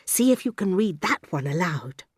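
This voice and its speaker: A woman voicing a gentle, encouraging female schoolteacher in a storybook, kindly, prim and attentive. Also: lowish voice, big pitch swings, normal speed, slightly rough, no echo, clean studio sound.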